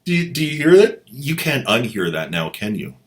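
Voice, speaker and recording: A man's voice: medium pitch, very animated, moderate pace. A man hosting a video, giving mocking, irreverent commentary on an old audio drama. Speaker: channel host and commentator. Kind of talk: sarcastic commentary.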